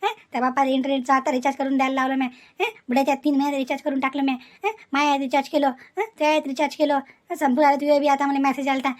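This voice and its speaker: A woman's voice: sits high, level tone, racing, darkish tone, lightly acted. A woman voicing a thrifty, exasperated older mother-in-law in a family cartoon, grumbling in lists with a Varhadi Marathi accent. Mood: weary refusing. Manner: grumbling, complaining in repetitive lists. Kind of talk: cartoon family comedy acting.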